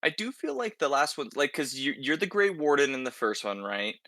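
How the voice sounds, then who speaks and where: A man's voice: mid-range voice, very animated, fairly quick, sharp tone, no room sound, studio quality. A man hosting a casual, conversational podcast discussion about video games, often checking in with short tag questions. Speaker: podcast host.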